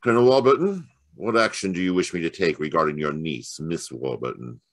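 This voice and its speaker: An actor playing a cool, precise gentleman detective in an old-time radio mystery. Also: extremely low pitch, expressive, brisk, no echo, clean studio sound. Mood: coolly explanatory.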